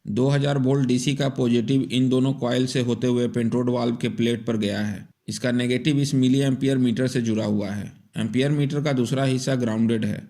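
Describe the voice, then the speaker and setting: A man's voice: mid-range voice, warm tone. A man presenting a repair tutorial in scripted, methodical instructional narration. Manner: methodical, step-by-step explaining.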